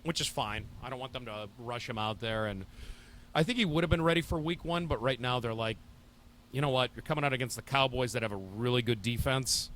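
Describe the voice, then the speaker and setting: A man's voice: mid-range voice, brisk, fairly bright tone, slight background noise. A man co-hosting a podcast, chatting casually about football with dry asides. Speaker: podcast co-host. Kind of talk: casual sports chat. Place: sports bar.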